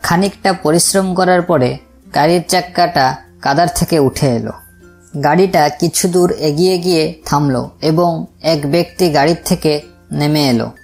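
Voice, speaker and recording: Woman storyteller, low voice, reading a folk tale over soft background music. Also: moderate pace. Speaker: storyteller narrator. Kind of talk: scripted narration of a moral tale. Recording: music behind the voice.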